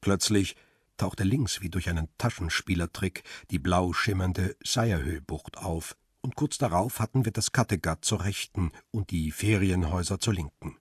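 An actor playing a middle-aged, world-weary male photographer who narrates a radio crime drama in a measured, reflective way. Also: deep voice, slightly rough, no echo, studio quality. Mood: observant, calm. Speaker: first-person narrator and lead character.

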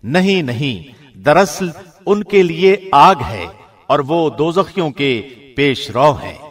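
A man giving a formal, measured and solemn scripted reading of a scripture translation, as a radio presenter would. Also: a little high, lively intonation.

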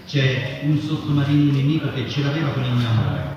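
A man's voice: mid-range voice, steady tone, a little fast, background noise. Muffled, echoey man reading poetry. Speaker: reader at a public literary reading. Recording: dull echoey hall recording, distant mic. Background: music.

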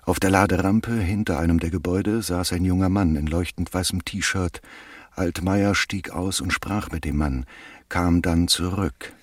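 A man with a husky voice acting a wry crime-story narrator in a German radio drama. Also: low-pitched, fairly even intonation, unhurried, no echo. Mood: matter-of-fact.

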